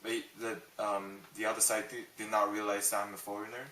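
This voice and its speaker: A man's voice: on the low side, little pitch movement, a little fast, pushed and bright. A young man from Taiwan answering interview questions, hesitant, with many ums and restarts. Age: young adult. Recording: roomy, distant mic.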